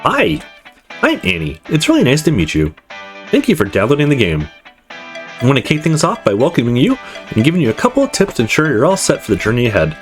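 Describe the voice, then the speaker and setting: A man's voice: fairly high pitch, wildly swooping pitch, fairly quick, voice pushed up. A man voicing a friendly, polite young woman who welcomes players to a visual novel. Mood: cheery, welcoming. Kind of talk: visual novel dialogue read aloud. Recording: music playing behind the voice.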